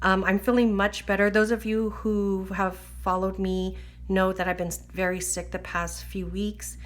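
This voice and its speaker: A woman's voice: medium pitch, little pitch movement, moderate pace. Woman, slight wheeze from a lingering cough, chatty and filler-heavy, talking at a table indoors. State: recovering from illness, slight wheeze. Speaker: planner vlogger. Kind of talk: casual chat.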